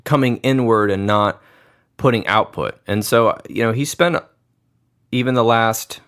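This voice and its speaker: A man's voice: mid-range voice, unhurried, fairly bright tone, clear, resonant tone. A man hosting a podcast, musing aloud in a thoughtful, reflective way. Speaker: podcast host, grandson of the pianist. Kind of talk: conversational interview.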